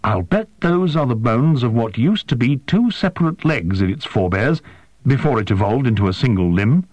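A man's voice: medium pitch, expressive, normal speed, darkish tone, no room sound, lightly acted, poor audio. A man voicing a female alien interpreter who speaks in a stilted, overly formal way. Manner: stiff, overly formal phrasing.

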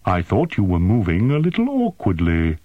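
A man voicing a huge, courteous male alien in an audiobook, with a rumbling, measured and formal manner. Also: huge pitch swings, dry recording, phone-quality sound. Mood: polite concern. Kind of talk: audiobook character voice.

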